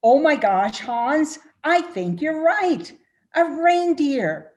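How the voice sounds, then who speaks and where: Woman as a high, slow, animated young patron. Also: full character voice. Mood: astonished. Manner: exclamatory, incredulous. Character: young Billy, skeptical, excitable bar patron. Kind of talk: radio-play style readers theatre acting.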